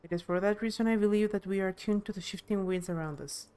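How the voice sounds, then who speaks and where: A woman voicing a welcoming, nature-revering village chief in a video game, with formal, measured delivery. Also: mid-range voice, steady tone, warm tone, clean tone, close to an everyday voice. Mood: reverent. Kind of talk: game character voice-over.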